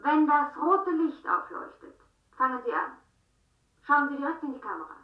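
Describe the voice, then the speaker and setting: An actress playing a curt, impatient audition official who fires clipped bureaucratic questions in a radio drama. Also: female voice, sits high, big pitch swings, normal speed. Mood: brisk, official. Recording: echoey, distant, slightly dull sound.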